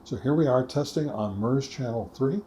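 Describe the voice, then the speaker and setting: A man narrating a casual product test as a review host, talking step by step in a halting way. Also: mid-range voice, warm tone, a little hiss or hum. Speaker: host of a ham radio gear review channel.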